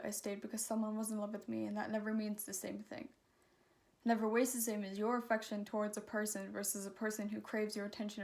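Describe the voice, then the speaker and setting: A woman performing her own slam poem in an earnest, measured, emotive recitation. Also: medium pitch. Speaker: slam poet.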